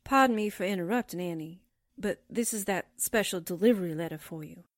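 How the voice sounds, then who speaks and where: A woman's voice: fairly low pitch, a little fast, dry recording, clean studio sound, lightly acted. A woman playing a folksy, anxious newspaper sidekick in a 1940s-style radio drama. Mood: worried.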